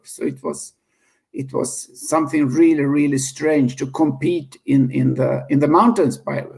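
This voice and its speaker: A man's voice: a little high, creaky, a little mellow. A man in his fifties from Sweden with a Swedish accent, speaking as a guest in a casual interview, often restarting and correcting himself as he goes. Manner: halting, many restarts and self-corrections, laughs easily.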